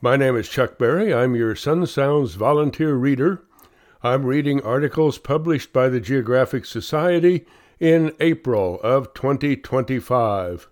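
Volunteer reader, male, has a cold; slow, careful delivery for a reading service. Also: mid-range voice, darkish tone. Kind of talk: reading articles aloud.

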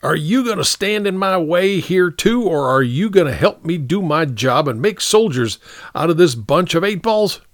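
A proud, bullying army captain from an audiobook war story, acted out by a man. He pitches his voice up and swings it widely as he hands out clipped orders. The sound is close and dry. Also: animated, moderate pace, no room sound, natural voice. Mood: stern, irritated. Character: strict, bullying American army captain, short-tempered and proud. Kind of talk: audiobook character dialogue.

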